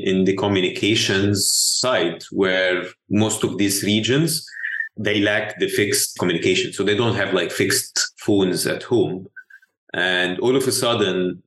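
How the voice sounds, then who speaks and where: A man in his forties from Egypt, answering interview questions in a measured way, thinking aloud with small restarts. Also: low voice, fairly bright tone. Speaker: venture capital investor guest. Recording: roomy echo.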